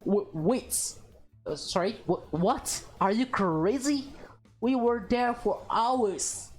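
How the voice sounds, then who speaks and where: A woman's voice: fairly high pitch, slow, darkish tone, soft background music, voice pushed up. A woman voicing a baffled, reluctant young man in a visual novel, stammering and dry. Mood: baffled, exasperated.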